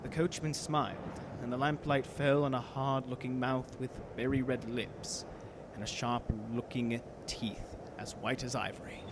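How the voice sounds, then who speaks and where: A man's voice: medium pitch, little pitch movement, unhurried, noisy background, lightly acted. A man playing an earnest young lawyer's clerk, narrating his journal formally and intensely in a gothic radio drama. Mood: tense. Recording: loud storm and carriage effects behind.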